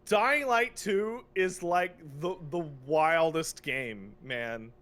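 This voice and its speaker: A man's voice: fairly high pitch, takes it slowly, a bit bright. A man co-hosting a podcast in a casual, opinionated rant, exasperated and emphatic, repeating phrases for effect and swearing freely. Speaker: podcast co-host.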